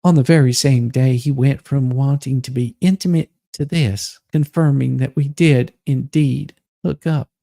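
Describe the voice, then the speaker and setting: A man voicing an aggrieved, self-righteous woman in a theatrical dramatic reading, with a husky voice and deliberate, indignant manner. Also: mid-range voice, steady tone, mellow, no room sound, clean studio sound. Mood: aggrieved, recounting.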